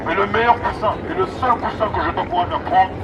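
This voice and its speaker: A high-voiced man delivers an emphatic street sermon through a megaphone. He exhorts in repeated phrases, and the sound is dull, with loud traffic and city noise around him. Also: quick pace, distant mic. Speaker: street evangelist. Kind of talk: open-air megaphone sermon. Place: outdoors in an urban public street. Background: traffic and city ambience.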